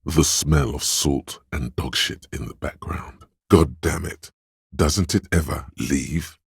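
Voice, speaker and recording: A man voicing the wry inner voice of a detective's sense of smell in a game, with a slow, sardonic delivery. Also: no room sound, clean studio sound, full character voice. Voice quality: deep gravelly husky voice. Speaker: voice actor for game narration. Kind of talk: scripted game voice lines. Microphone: close studio mic.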